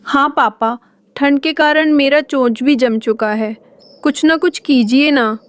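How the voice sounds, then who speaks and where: A woman's voice: high voice, unhurried, no room sound. A woman voicing a young boy crow in a cartoon, curious and envious, with a childlike wheedling manner. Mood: whiny. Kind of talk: Hindi moral cartoon voice acting.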